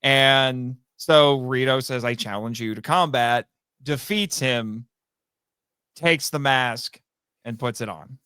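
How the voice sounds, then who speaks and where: A man's voice: mid-range voice, slow pace, pushed and bright, smooth, dry recording. A man appearing as a podcast guest, casually explaining a story in conversation.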